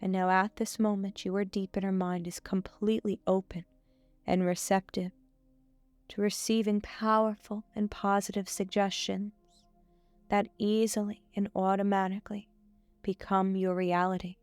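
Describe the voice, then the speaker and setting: Woman, guided meditation, steady narrow intonation, dry close recording, measured pauses, soothing. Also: mid-range voice, clear, resonant tone, dry recording, soft background music. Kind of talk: scripted guided meditation, hypnotic suggestion.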